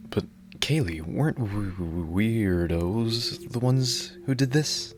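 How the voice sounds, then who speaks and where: A man playing a dorky, lovestruck bodyguard in an ASMR roleplay, speaking in an awkward, halting, earnest way. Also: on the low side, animated, faint music behind.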